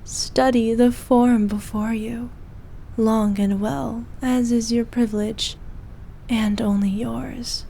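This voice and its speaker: A woman playing a regal, possessive evil queen who dotes on her knight in an intimate ASMR roleplay, speaking formally and softly, at times in breathy whispers. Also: a little high, fairly even intonation, slight background noise. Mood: seductive, pleased. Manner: regal, slow, archaic formal speech.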